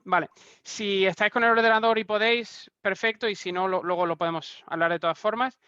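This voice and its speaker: A man's voice: sits high, steady tone, a little fast, pushed and bright, clean tone, no echo. Man, 30s, Spanish accent, hesitant, dry muffled call audio. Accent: Spanish (Spain). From Argentina, raised in Spain. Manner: hesitant, many restarts and fillers. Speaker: guest speaker. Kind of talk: casual online talk. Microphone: video call.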